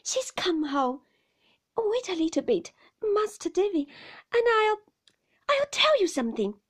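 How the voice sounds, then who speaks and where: Woman as a flustered, breathless, high-voiced kindly nursemaid. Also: very animated, measured pace, full character voice. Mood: flustered, evasive. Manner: breathless, hesitant, soothing. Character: kindly, flustered, fond nursemaid breaking awkward news.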